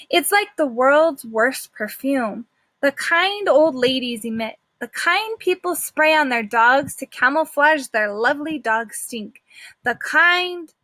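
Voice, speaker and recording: A woman's voice: high voice, pushed and bright, no echo. A woman teacher reading a children's story aloud expressively, with simple explanatory asides to her young listeners.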